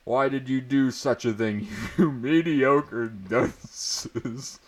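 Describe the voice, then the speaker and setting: A man voicing a stern, angry older female professor in a comic story reading, scolding in drawn-out words. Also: mid-range voice, voice pushed up.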